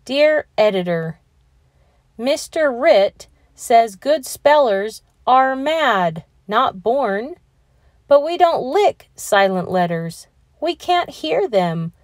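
Earnest storybook pupil, declamatory and emphatic, measured pace, varied pitch read by a woman. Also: very animated, no echo, clean studio sound, heavily acted. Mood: indignant. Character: earnest schoolchildren solemnly reciting their letter.

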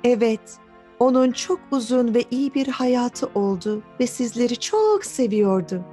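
A woman voicing a kind, patient grown-up woman who explains things gently to small children in a cartoon dub. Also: on the high side, lively intonation, unhurried. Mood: gentle, regretful. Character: kind, patient female preschool teacher or mother. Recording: studio dub over background music.

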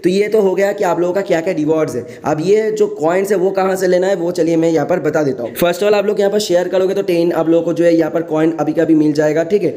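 Fast-talking young Indian man, mellow higher voice. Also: fairly even intonation. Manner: repetitive, filler-heavy explaining, mixing in English words. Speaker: gaming YouTuber, host. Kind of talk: casual gaming explainer voice-over.

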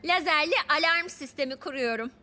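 Woman as confident clever cartoon girl, very high and lively, explaining things, music underneath. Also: brisk, close to an everyday voice. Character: bright confident young girl who explains gadgets. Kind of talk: children's cartoon dub acting.

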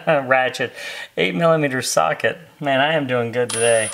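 A middle-aged man with an American accent talks casually through a hands-on install. His voice is gravelly with fry and sits at an ordinary pitch. He sounds easygoing as he works inside a car, with faint noise and clicks behind him. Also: normal speed, fairly bright tone. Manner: easygoing, talking while working with his hands. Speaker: host of a car accessory install video. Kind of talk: casual hands-on tutorial chat.

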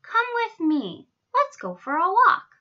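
Reassuring picture-book zookeeper, male role read by a woman: high, animated, gently encouraging, a bit lo-fi. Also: slow pace, full character voice. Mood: kind, reassuring.

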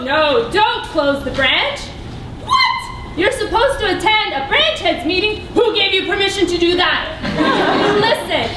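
A woman's voice: very high-pitched, exaggerated voice. A woman playing a sassy, exasperated library office clerk in a live comic puppet show, theatrical and given to sighs. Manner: theatrical, exasperated, sighing, drawn-out delivery. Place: indoor puppet theatre, filmed from the audience. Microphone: far camera. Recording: echoey noisy hall recorded from far off.

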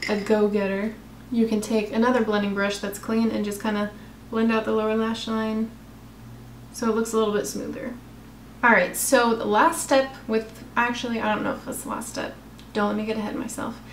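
Chatty young woman with a slightly raspy voice. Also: on the high side, echoey room, slight background noise. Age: young adult. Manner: chatty, self-deprecating, talks while applying makeup. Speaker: makeup vlogger. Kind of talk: casual makeup tutorial. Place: small room in her apartment. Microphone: camera close in front of face.

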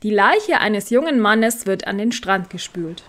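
A woman's voice: mid-range voice, expressive, unhurried, fairly bright tone. A woman hosting a podcast, giving a scripted introduction and addressing her listeners. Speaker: podcast host, church deacon.

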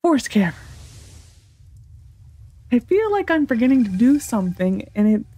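A woman's voice: on the high side, very animated, normal speed, mellow, slightly rough, no room sound. A woman with a General American accent giving casual gameplay commentary, rambling as she thinks aloud to herself. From United States. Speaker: gaming video host.

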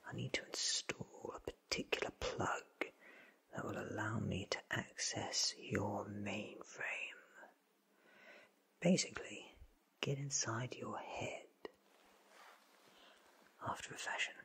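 A man in his thirties playing an eccentric, wry time-travelling inventor in a whispered, deliberate ASMR roleplay, close to the mic. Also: on the low side, gravelly, pushed and bright, full character voice. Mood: wry, focused. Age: 30s. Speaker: ASMR performer. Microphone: close mic in front of him.

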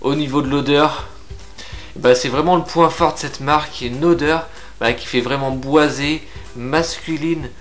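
A man's voice: a little high, faint music behind. Chatty young man reviewing beard products. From France. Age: young adult. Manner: lively, frank, chatty with filler pauses. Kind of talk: casual product review to camera. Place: indoor room with shelves of figurines.

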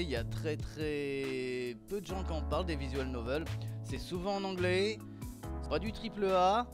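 A middle-aged man casually presenting a video game, conversational, repeating words and phrases for emphasis. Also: on the high side. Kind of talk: casual game showcase commentary. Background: music.

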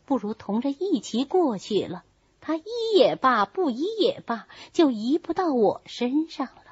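A woman reading a novel voices a shrewd, flattering young married lady of a rich household in an animated, calculating manner. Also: high-pitched, measured pace, husky, dry recording, muffled recording, heavily acted. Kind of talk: storybook character reading.

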